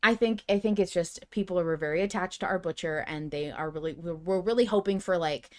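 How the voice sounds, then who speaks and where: A woman's voice: lowish voice, fairly quick, darkish tone. A woman co-hosting a podcast in casual chat, speaking emphatically and with strong opinions, swearing freely. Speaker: podcast co-host. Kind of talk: casual fan discussion chat.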